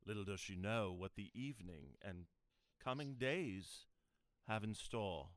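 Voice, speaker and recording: Give the narration to a formal suspense host: a low-pitched man, smooth and unhurried. His delivery is deliberate and measured, recorded close and dry. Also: animated, dry recording. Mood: measured, portentous. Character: formal male narrator introducing a suspense tale. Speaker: narrator of an audio drama serial.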